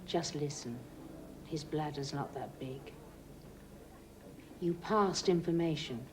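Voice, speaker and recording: A woman's voice: deep voice, takes it slowly, background noise. An actress playing a stern female investigator who presses a suspect with threats. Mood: pressing. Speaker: security officer questioning a suspect. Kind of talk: 1980s TV drama acting.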